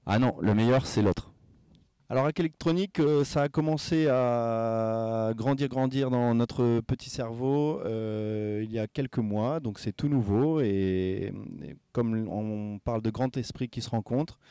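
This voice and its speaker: Mellow smooth-voiced man in his thirties. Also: medium pitch, unhurried, no room sound. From born in Casablanca, Franco-Chilean. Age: 30s. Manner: rambling, filler-heavy, restarts phrases. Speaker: event company co-founder. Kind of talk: relaxed promo interview.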